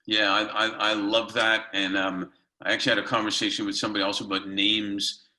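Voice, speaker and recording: A man's voice: on the low side, flat delivery, brisk, bright, forceful tone. A middle-aged man hosting an interview over a video call from home, hesitant with many ums and repeats. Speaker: interview host. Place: home room.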